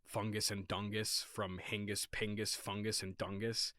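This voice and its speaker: A man playing himself in a comedy skit, talking casually in a plainspoken, deadpan way. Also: fairly low pitch, normal speed, no room sound, clean studio sound. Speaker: founder of an audio production company, playing himself.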